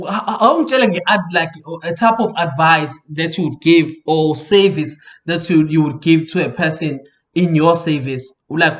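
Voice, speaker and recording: A man in his thirties with a South African accent, hosting a podcast interview in an animated, emphatic way, repeating himself to drive points home. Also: on the high side. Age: 30s. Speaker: podcast host. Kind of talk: casual interview chat. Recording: dull lo-fi podcast audio.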